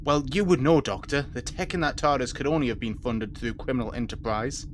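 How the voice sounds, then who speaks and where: A man's voice: medium pitch, a little fast, slight background noise, lightly acted. An actor playing an older male research leader, accusing and indignant, in a sci-fi audio drama. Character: angry, defensive older male principal of research crew.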